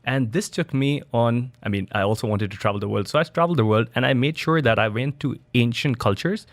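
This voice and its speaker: A man's voice: medium pitch, fairly quick, dry recording. A man from Dubai, a studio guest, answering interview questions in a loose, conversational way with restarts and fillers. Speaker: studio guest, biohacking entrepreneur.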